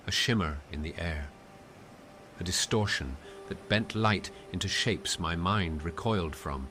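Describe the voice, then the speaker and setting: A man playing a haunted, dread-struck soul who narrates a gothic cosmic horror monologue in a solemn, ornate, deliberate manner. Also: a bass voice. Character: haunted, dread-struck man tethered to an unseen sea entity. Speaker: narrator in an audio roleplay.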